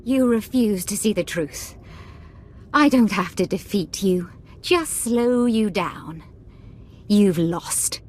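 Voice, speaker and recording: An actress playing a zealous, scornful Sith officer in a video game, with haughty, grandiose menace. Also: female voice, medium pitch, slow, darkish tone. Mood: fanatical, defiant. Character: zealous Sith woman officer, scornful, devoted to her master. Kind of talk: dramatic video game villain acting.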